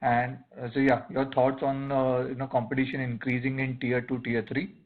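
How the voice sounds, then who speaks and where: A man putting a question as an analyst on a conference call, pausing often, over a phone line. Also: medium pitch, completely flat delivery. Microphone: telephone conference call.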